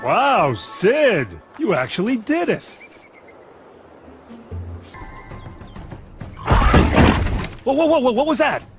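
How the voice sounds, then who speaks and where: A man voicing an eager, anxious expectant father mammoth in an animated game, with a wry, exclamatory delivery. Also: very high-pitched, moderate pace, music in the background, heavily acted. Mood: amazed.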